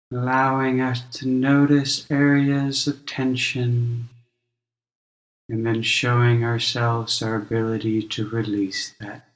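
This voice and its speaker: A man leading a guided meditation as a teacher, speaking gently and in a measured, instructional way with long pauses. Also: mid-range voice, reverberant, poor audio.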